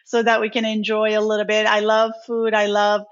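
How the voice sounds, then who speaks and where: A woman's voice: mid-range voice, completely flat delivery, fairly quick. A woman in her forties, originally from Turkey, answering interview questions in a chatty way with many ums and restarts. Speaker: podcast guest, training company founder. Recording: dull lo-fi sound.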